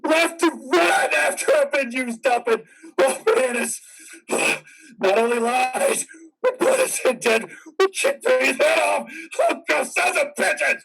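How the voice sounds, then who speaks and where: A man playing a traumatized soldier husband in a stage-style drama, halting and brooding but prone to explosive anguish. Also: fairly low pitch, lively intonation, recorded from a distance, over music, low-quality recording. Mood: anguished rage. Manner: halting, brooding speech breaking into anguished shouts.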